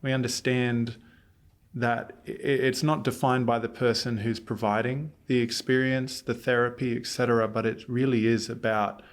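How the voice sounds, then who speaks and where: A man from Australia, a committee chair interviewing a guest, speaking in an earnest, measured way with occasional restarts. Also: medium pitch, level tone. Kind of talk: conversational interview.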